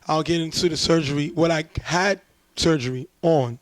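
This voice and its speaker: A man hosting a casual sports talk show, a bit hoarse as he loses his voice, breaking down plays in a chatty way. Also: a little high, moderate pace, no echo. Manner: relaxed, chatty play-by-play breakdown. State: losing his voice. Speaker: show host, sports commentator.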